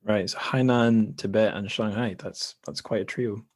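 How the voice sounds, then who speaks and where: Scottish male podcast host, slightly low register, casual and rambling. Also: steady tone, moderate pace. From Scotland. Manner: chatty, rambling asides. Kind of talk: casual podcast chat and intro.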